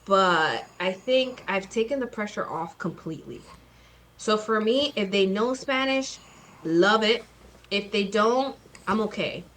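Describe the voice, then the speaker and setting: A woman in her thirties, a guest author chatting casually in an interview, talking fast with lots of fillers and acted-out quotes. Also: mid-range voice, expressive, a bit bright, slight background noise. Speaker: guest, children's book author.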